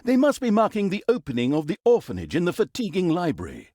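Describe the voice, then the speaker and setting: An actor playing a sardonic, theatrical narrator of a villainous fantasy video game, telling it like a comic storybook with mock drama and wry asides. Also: male voice, high voice, wildly swooping pitch, fast talker, a little mellow, no echo, clean studio sound, exaggerated voice. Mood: wry, dramatic.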